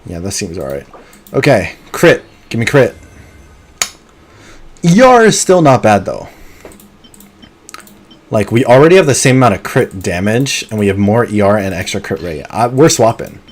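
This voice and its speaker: A man streaming a video game live, chatting casually and muttering to himself between bursts of excitement. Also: on the low side, moderate pace, pauses often. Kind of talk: live gameplay commentary.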